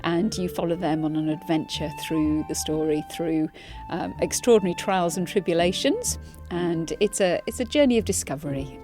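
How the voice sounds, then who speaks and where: Woman, slightly low voice, reflective actress interview, earnest, music underneath. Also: normal speed. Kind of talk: promotional interview talk about her role.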